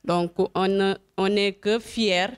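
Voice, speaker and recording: A woman's voice: mid-range voice, steady tone, slow, clear, resonant tone. A woman from Senegal, giving formal, earnest congratulatory remarks.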